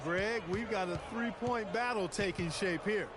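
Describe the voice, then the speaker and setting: A man's voice: sits high, unhurried. An American man giving conversational analysis as a commentator on a basketball video game broadcast. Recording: very noisy game ambience behind. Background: loud game ambience, bouncing basketball.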